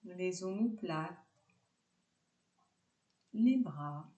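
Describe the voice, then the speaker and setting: A woman teaching yoga nidra, guiding a relaxation meditation calmly in short, separated phrases. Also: on the low side, drawn-out words, reverberant.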